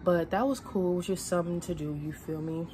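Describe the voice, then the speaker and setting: A young woman talks to her phone at arm's length in her bedroom, chatty and laid-back, drifting from topic to topic. Her voice sits on the low side. A steady hiss of noise runs under the phone recording. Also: fairly quick. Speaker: vlogger. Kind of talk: casual vlog chat to camera. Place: bedroom at home, indoors. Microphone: phone camera at arm's length.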